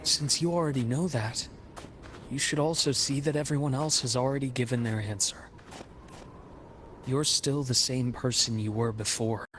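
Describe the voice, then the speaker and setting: Male actor as loyal game companion, man, medium pitch, calm, measured delivery, reassuring, earnest, prominent background music. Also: lightly acted. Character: calm, steadfast male companion consoling an old friend. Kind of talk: video game cutscene voice acting.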